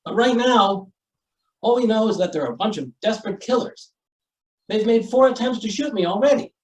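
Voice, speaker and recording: A man's voice: high-pitched, normal speed, darkish tone, reverberant, far from the mic, natural voice, low-quality recording. A man playing an exasperated, sarcastic newspaper editor in old-time radio style, blustering through his lines. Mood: harried alarm. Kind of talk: old-time radio comedy-thriller acting.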